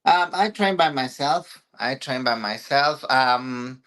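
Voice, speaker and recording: Female interview guest, Mexican accent, native Spanish, low clear voice, unhurried and hesitant in phrasing. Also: lively intonation, fairly bright tone. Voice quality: deep, low voice for a woman. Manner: halting, non-native English with repetitions. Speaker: interview guest, bodybuilder and trainer. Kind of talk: casual interview answers.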